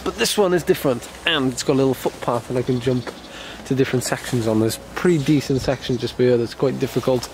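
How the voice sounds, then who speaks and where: A man's voice: medium pitch, fast, hardly any pauses. Vlogging cyclist, male, from the UK, a bit congested, rambling and casual, with faint noise behind. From United Kingdom. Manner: rambling, many fillers and restarts. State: ill, slightly congested. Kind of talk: casual vlog talk to camera.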